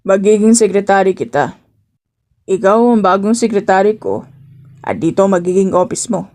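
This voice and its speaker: An aloof male chief executive in a romance audio drama, played by a woman. The voice is mellow and dark, lower than a young heroine's. He talks curtly, like someone used to giving orders. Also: lively intonation, normal speed, close to an everyday voice. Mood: commanding. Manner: curt, commanding. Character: stern, aloof male CEO boss, secretly amused.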